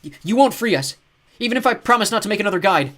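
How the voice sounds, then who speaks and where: A man voicing a frightened but defiant boy who talks shakily and shouts back. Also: high-pitched, lively intonation, fast talker, pitched above the performer's own voice. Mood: tense. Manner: shaky, pleading and shouting. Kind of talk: audiobook character voice.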